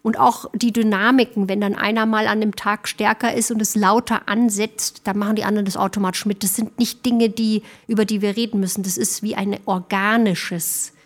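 A middle-aged woman, a singer, talks thoughtfully in a casual interview with frequent pauses and restarts. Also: mid-range voice, fairly even intonation. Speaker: guest singer.

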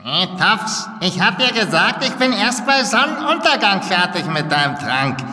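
Grumpy little forest gnome: creaky, piercingly bright, echoing in a hall. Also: male voice, sits high, sing-song, moderate pace, pitched above the performer's own voice, exaggerated voice. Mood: angry scolding. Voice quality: creaky, rasping. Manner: irritable, threatening. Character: tiny grumpy earth-smeared gnome man living under a tree stump. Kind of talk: live audio-drama reading. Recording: echoey hall with long reverb.

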